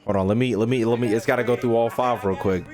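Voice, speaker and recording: A man's voice: medium pitch, fairly even intonation, fast talker. A man doing casual gameplay commentary as a streamer, slangy and sweary, often repeating his phrases. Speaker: gaming streamer. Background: game music.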